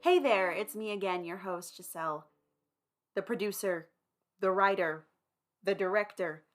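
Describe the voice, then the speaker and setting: A woman's voice: medium pitch, a little slow, soft background music. A woman speaking informally and emphatically to listeners as the host and creator of an audio drama. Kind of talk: casual chatty address to listeners.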